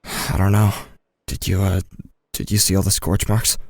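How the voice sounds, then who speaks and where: A man's voice: deep voice, level tone, moderate pace, darkish tone, husky, no echo, clean studio sound. A man playing an anxious, shy teenage boy who narrates his own story in an audio drama. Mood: anxious, subdued. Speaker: narrator and lead actor.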